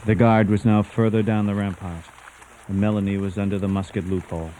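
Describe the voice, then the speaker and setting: An actor playing a grave storyteller, narrating a suspense tale for radio drama in a theatrical, deliberate manner. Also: male voice, lowish voice, little pitch movement, warm tone, a little hiss or hum, natural voice. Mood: tense, dramatic. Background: music.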